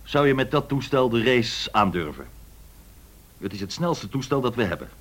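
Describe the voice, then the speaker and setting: An actor playing a brusque, commanding airline director in a radio drama, curt, authoritative and probing. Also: male voice, mid-range voice, big pitch swings, normal speed, a bit bright. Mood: brisk, commanding.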